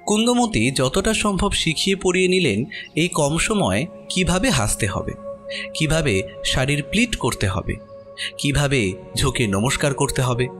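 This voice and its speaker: A man narrates a horror tale in an expressive storytelling style. His voice is fairly high and moves animatedly in pitch, with faint music behind it. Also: moderate pace.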